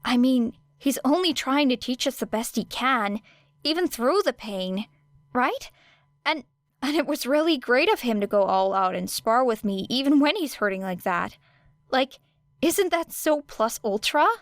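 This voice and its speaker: A woman's voice: high-pitched, lively intonation, unhurried, dry recording, voice pushed up. A woman voicing a polite, slyly innocent teenage schoolgirl with a meek, pleading manner in an audiobook. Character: placid, apologetic teenage girl student, slyly innocent. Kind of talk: audiobook character acting.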